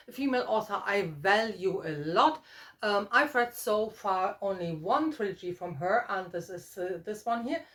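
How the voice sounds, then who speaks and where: A middle-aged woman talking casually to viewers as a book vlogger, in a halting, thoughtful way with trailing asides. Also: mid-range voice, a bit bright. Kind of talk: casual book chat to camera.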